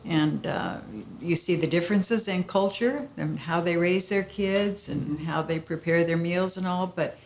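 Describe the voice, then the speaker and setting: An older woman answering in a documentary interview, warm and reflective, with some fillers. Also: on the low side, measured pace, hardly any pauses, roomy sound, a little hiss or hum.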